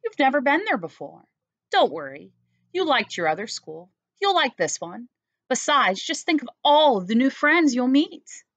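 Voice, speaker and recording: An animated, patient man of the house from a picture book, read by a woman. He coaxes and reassures with wide swings in pitch, in a dry close recording. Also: moderate pace, dry recording, dull audio, heavily acted. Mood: reassuring. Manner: coaxing, reassuring. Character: Mister Hartwell, patient, cheery, coaxing man at home. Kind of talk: storybook character voice.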